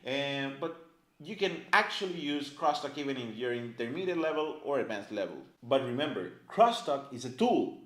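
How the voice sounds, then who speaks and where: Lively, chatty man in an echoey room. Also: mid-range voice, normal speed. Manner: animated, chatty, many fillers like "right?" and "like". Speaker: language teacher. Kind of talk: casual explanatory lesson to camera. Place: indoor room, filmed by a camera operator.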